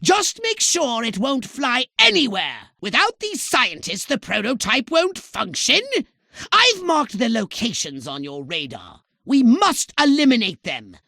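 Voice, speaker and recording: A man's voice: very high-pitched, huge pitch swings, unhurried, gravelly, pushed and bright, no echo, clean studio sound, exaggerated voice. A man voicing a scheming, pompous alien commander in a video game, imperious, sarcastic and melodramatic as he gives orders. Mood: urgent, commanding. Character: shrill, bossy, scheming alien commander giving mission orders. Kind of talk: theatrical video game character acting.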